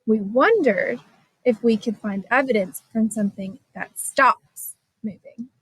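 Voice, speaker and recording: A woman with a fairly high voice reads a children's storybook aloud. Her narration is scripted, expressive and clearly phrased. The recording is fairly dry, with little room sound. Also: measured pace, dry recording, rough recording. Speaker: storybook reader.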